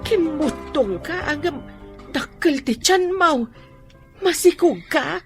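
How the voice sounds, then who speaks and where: A woman's voice: high-pitched, expressive, slow, over music, exaggerated voice. An actress with a Filipino accent playing a stern, worried mother in a radio drama. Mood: upset, scolding. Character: stern, worried mother questioning her daughter.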